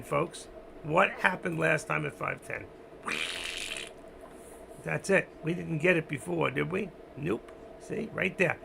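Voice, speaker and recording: A man hosting a casual trading livestream, rambling to himself and his chat with a hoarse voice. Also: fairly high pitch, pushed and bright, slight background noise. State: losing his voice. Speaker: stock trading livestream host. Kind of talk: casual live market commentary.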